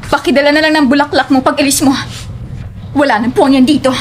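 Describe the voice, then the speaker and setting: A woman's voice: high voice, fairly even intonation, fast, noisy background, natural voice. A woman with a Filipino accent acting a firm, fed-up young woman in an audio drama. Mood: cold, firm. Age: young adult.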